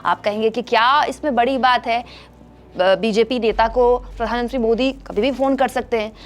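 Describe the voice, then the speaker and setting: A woman with a high voice works as a news presenter. She narrates political news from a script and addresses her viewers directly. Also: moderate pace.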